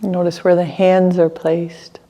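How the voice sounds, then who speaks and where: A woman teaching a guided meditation, speaking gently in short phrases with long pauses between them. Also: lowish voice, flat delivery, lo-fi sound.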